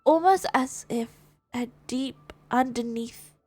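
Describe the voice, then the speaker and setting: A woman streamer reading video game dialogue and narration aloud in a measured storytelling manner. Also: a little high, warm tone, soft background music.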